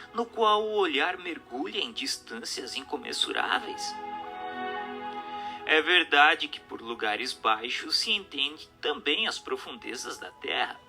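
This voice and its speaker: A Brazilian man delivers scripted dramatic narration in a slightly high, very bright and forceful voice. His pitch moves in lively sweeps as he explains and poses rhetorical questions with didactic emphasis. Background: music under the voice.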